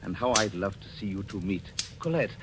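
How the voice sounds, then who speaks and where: A man's voice: fairly low pitch, animated, a little fast, slight background noise. A man playing a cruel, controlling husband with a suave, sardonic, commanding manner in 1940s radio suspense style. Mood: sardonic, mocking. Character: cruel, menacing, controlling husband, coldly mocking his wife. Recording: old radio broadcast with music behind.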